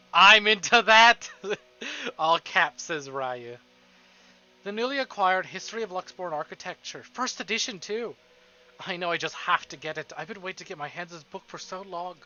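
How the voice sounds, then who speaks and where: High, bright-voiced man streaming a game read-through. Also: animated, moderate pace, highs cut off. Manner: foul-mouthed, effusive. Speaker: streamer reading a visual novel aloud. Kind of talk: live read-through with casual, excited reactions.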